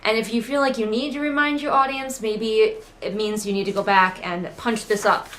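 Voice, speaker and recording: A woman's voice: a little high, steady tone, normal speed, fairly bright tone. A woman giving casual, sarcastic book commentary with exasperated, mocking asides. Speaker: book critic hosting a readalong. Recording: noticeable background noise, train outside. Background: ambient noise, passing train at times.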